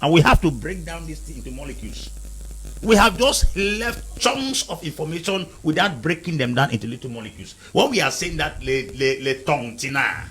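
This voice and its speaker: A man's voice: on the high side, sing-song, normal speed, fairly bright tone, rough recording. A man from Cameroon arguing emphatically as a panel guest in a heated debate, repeating and driving home his points. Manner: emphatic, repetitive, lecturing.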